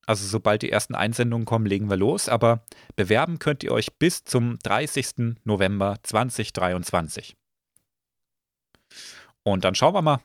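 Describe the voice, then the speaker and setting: Slightly hoarse man hosting a podcast. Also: lowish voice, moderate pace, dry recording, clean studio sound. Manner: relaxed, many filler sounds. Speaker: podcast host. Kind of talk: casual podcast chat.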